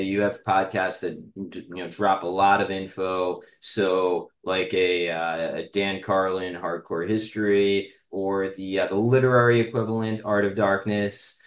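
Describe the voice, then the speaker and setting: A man hosting a podcast in casual chat, haltingly circling toward his point, recorded with a roomy echo. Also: sits low. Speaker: podcast host. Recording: roomy, echoey sound.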